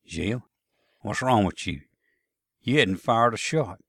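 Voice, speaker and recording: A man voicing a gruff, pushy Confederate soldier with a Southern drawl, scolding his comrade in a story reading. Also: lowish voice, lively intonation, a bit bright, dry recording, studio quality, exaggerated voice. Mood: urgent, puzzled. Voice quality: slow drawl. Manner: insistent, scolding, folksy.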